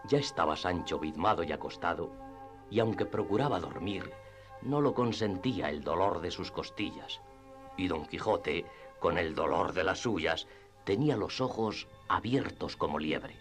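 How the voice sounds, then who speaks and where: A man narrating classic literature in radio theatre style, with expressive storytelling and deliberate phrasing. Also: sits low. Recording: music under the voice. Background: background music.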